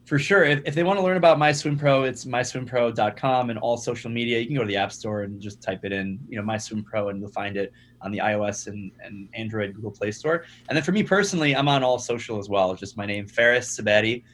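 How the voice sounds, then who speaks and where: Energetic young man, a podcast guest. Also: on the low side, quick pace. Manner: energetic, repeats words when starting sentences. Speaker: startup founder, guest. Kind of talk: conversational podcast interview.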